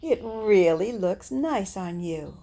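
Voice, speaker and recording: An actress playing Bee, a vengeful woman who stings rude people, in a radio drama with a slow, measured delivery. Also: mid-range voice, animated, vocal fry, dark tone, exaggerated voice. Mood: cold.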